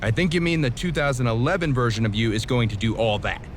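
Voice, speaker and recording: A man's voice: medium pitch, quick pace, lightly acted. Man playing a serious wrestler amid background noise. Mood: dry, resolved. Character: serious, weary male pro wrestler, straight man to goofball. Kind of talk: scripted video game cutscene dialogue.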